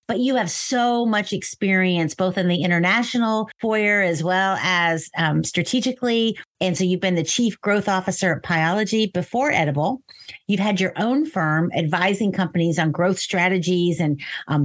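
A woman hosting a podcast interview, welcoming and enthusiastic with her guest. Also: fairly low pitch. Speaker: podcast host.

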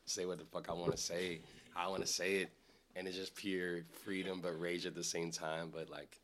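A man's voice: deep voice, flat delivery, normal speed, a bit bright, slight background noise. A man from New York co-hosting a casual podcast chat, playful and chatty, filling his talk with "like". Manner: chatty, playful, says "like" often. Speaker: podcast co-host.

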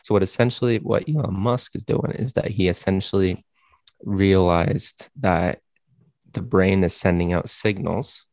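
A man's voice: low-pitched, fairly even intonation, measured pace, a little mellow, dry recording. A man on a podcast explaining things emphatically, often repeating himself. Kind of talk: casual chat.

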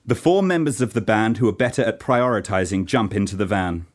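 A man's voice: fairly low pitch, brisk. A man from Norway giving scripted narration for a radio play. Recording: clear background noise.

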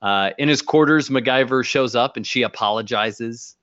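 A man's voice: mid-range voice, normal speed, a bit bright, dry recording, muffled recording. A man co-hosting a podcast, casually recapping a TV episode scene by scene and acting out bits of dialogue. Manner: summarizes scenes and acts out quoted lines.

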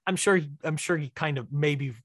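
A man's voice: on the high side, moderate pace, no room sound. Chatty man with a dry, close sound. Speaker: interview guest, filmmaker. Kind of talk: casual chat, telling behind-the-scenes stories.

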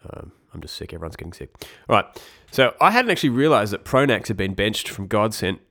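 A man's voice: lowish voice, big pitch swings, fast, bright, forceful tone. A young man hosting a casual podcast, congested with a cold, rambling and correcting himself with wry asides. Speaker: podcast host. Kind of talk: casual esports news podcast.

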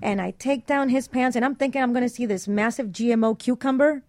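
A woman in candid, bawdy casual chat, telling personal anecdotes in an animated way. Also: on the high side, fairly quick, no room sound.

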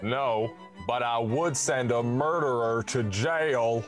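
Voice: mid-range voice, takes it slowly, soft background music, close to an everyday voice. A performer playing a goofy, naive male police sidekick in a comedic radio play, earnest and eager.

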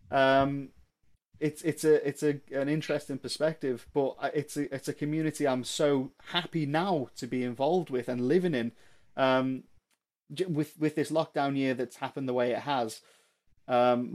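A man's voice: medium pitch, a little mellow. Earnest man with a mild Liverpool accent. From England. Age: 30s. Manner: earnest, reflective, lots of ums and restarts. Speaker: guest. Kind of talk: casual podcast chat.

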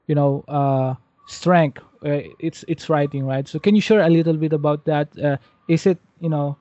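Male podcast host, soft dark timbre, rambling chat, slightly dull audio. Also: a little high, fairly even intonation, normal speed, dry recording. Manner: rambling, repeats "you know". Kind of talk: casual interview chat.

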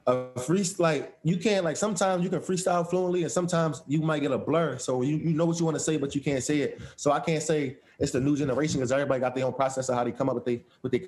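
A man's voice: a little high, fast talker, few pauses, darkish tone, soft background music. A man, a rapper, answering interview questions in a laid-back, hesitant way with many restarts. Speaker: rapper guest.

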